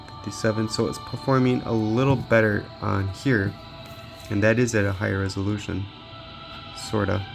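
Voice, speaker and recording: Low, gravelly man thinking aloud. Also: steady tone, moderate pace, music in the background. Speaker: handheld gaming reviewer. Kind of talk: casual off-the-cuff gameplay testing commentary.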